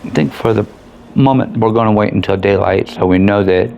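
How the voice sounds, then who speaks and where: A man's voice: fairly low pitch, fairly quick, vocal fry, darkish tone, lo-fi sound. A man giving casual commentary as he plays and reviews a video game. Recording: faint background noise. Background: game ambience.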